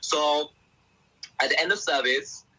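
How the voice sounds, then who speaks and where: Husky, bright young man. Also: fairly high pitch, measured pace, low-quality recording. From England. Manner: animated storyteller who acts out quotes. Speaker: guest. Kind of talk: casual podcast testimony.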